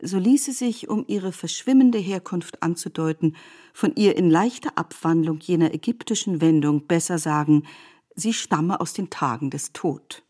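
A woman giving a measured, scripted literary narration in an essayistic manner for a radio production. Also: fairly low pitch, dark tone.

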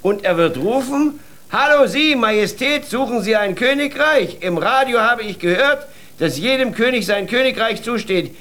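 A man's voice: very high-pitched, lively intonation, normal speed, bright, forceful tone, full character voice. An actor playing a poor, whimsical father who earnestly plays the king in a children's radio play. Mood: grandly confident.

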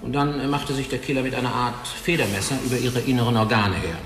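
An actor in a radio play voicing a blunt, matter-of-fact coroner who talks coarsely. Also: male voice, medium pitch, steady tone, fast talker, a bit bright, echoey room, big-room sound, soft background music, natural voice. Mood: clinical. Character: gruff cynical male coroner, older, blunt. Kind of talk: radio drama acting.

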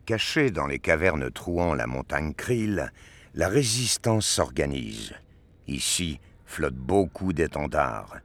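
A man's voice: very deep voice, very animated, takes it slowly, a bit bright, no room sound. A man reading scripted narration for a science fiction audio drama.

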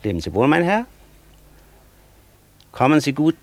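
Weary old sage, swooping intonation. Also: male voice, on the high side, very animated. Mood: brusque, dismissive. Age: older. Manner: sententious, disdainful, deliberately simple. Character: world-weary old master Faust, aphoristic and aloof. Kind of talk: German radio play acting.

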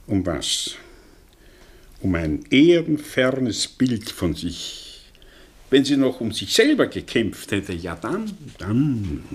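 An actor playing a proud, scornful intellectual professor in a radio play, searching for words with theatrical emphasis and a husky voice. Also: male voice, mid-range voice, exaggerated voice. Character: proud, scornful, brooding intellectual professor confessing an old obsession. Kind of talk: German radio play acting, 1960.

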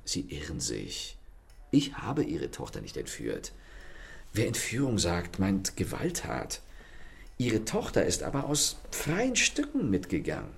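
An actor playing a self-assured, courteous and mocking gentleman thief in a German radio play, with polished theatrical manners. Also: sits low, wildly swooping pitch, measured pace, creaky, full character voice. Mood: leisurely explaining.

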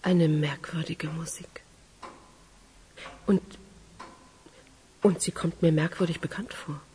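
A woman playing a composed, probing police investigator in a German radio crime drama, questioning calmly and methodically. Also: deep voice, slow, slight background noise. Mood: pensive, firm.

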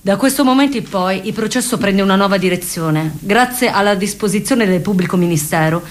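A woman's voice: mid-range voice, fairly quick. A woman narrating a scripted documentary play for radio as one of its actors.

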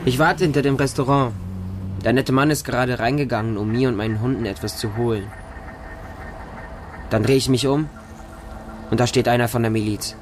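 A man's voice: mid-range voice, fairly even intonation, normal speed, long pauses, slight background noise, natural voice. An actor narrating a radio drama as a bleak, hardened homeless Russian street boy, in short, stark sentences. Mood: desolate. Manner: short, plain, stark present-tense sentences.

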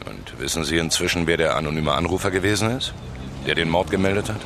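An actor playing a gloomy, probing investigator in a naturalistic radio crime drama, speaking in a terse, dry, questioning way. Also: male voice, low-pitched, fast talker, a bit bright, a little hiss or hum. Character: gloomy, probing male investigator with a troubled private life.

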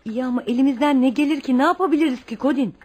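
A woman acting an anxious, expressive female character in a Turkish radio play, emotive and theatrical. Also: high voice, racing, natural voice. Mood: uncertain.

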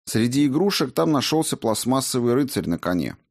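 A man's voice: mid-range voice, very animated, brisk. A man reading a first-person book aloud as scripted narration. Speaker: audiobook narrator.

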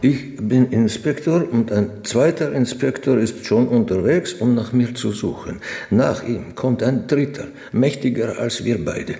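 A man's voice: mid-range voice, unhurried, creaky, warm tone. An actor in a radio drama playing a timid, anxious, educated man, polite and hesitant. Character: timid, anxious intellectual man held by soldiers. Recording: roomy, long reverb, faint music.

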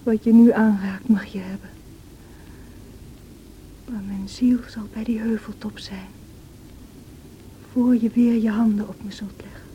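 An actress playing a feverish, wild and distraught young married woman in a radio drama, with a breathy, anguished delivery. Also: fairly high pitch, fairly even intonation, a little slow, long pauses, muffled recording, natural voice. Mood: bitter, defiant. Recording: noisy old radio play recording.

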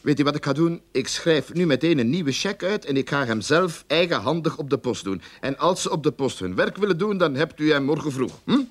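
An actor in a radio play playing a blustering, money-grubbing, lying detective agency boss with a sarcastic, exasperated manner. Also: male voice, a little high, animated, fairly quick, few pauses. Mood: smooth, then grumbling. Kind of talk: radio drama acting.

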